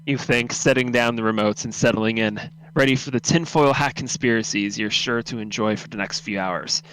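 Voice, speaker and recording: A man narrates an audio drama in the second person, reading from a script. His pitch sits in an ordinary middle range. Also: normal speed, a bit bright, clean tone, rough recording. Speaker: narrator of a radio drama read-through.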